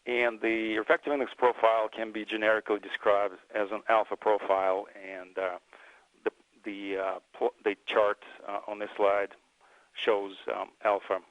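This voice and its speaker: A man with a fairly low voice gives a technical presentation in a halting, filler-heavy way. He sounds like an engineer explaining specifications step by step. The recording is dull and dry, with little room sound. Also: flat delivery, a bit bright, dry recording. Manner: halting, hesitant delivery with frequent fillers.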